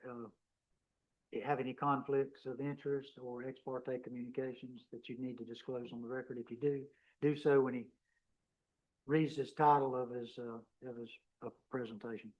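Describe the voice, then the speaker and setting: A man states legal procedure and administers an oath at a public meeting. His delivery is measured and formal, sentences fading at the end. The recording is muffled and dull. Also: mid-range voice. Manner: measured, formal, trails off quietly.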